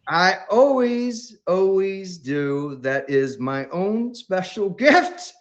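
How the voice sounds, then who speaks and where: A man hosting a livestream, talking in an enthusiastic, rambling way with many halting pauses. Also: high voice, lively intonation, muffled recording. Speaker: livestream host.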